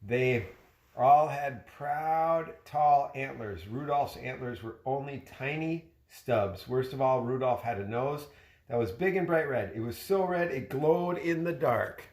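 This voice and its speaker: A man reading a children's story aloud expressively, like a father sharing it with his child. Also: medium pitch. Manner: expressive, pausing to chat with the child. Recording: roomy, echoey home recording.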